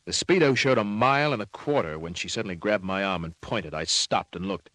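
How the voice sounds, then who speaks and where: A man's voice: fairly low pitch, brisk, a bit bright, no room sound, natural voice, low-quality recording. A man playing a cynical, wisecracking private detective in an old-time radio drama, with a slangy, clipped, world-weary delivery. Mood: wry narrating. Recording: vintage radio drama recording.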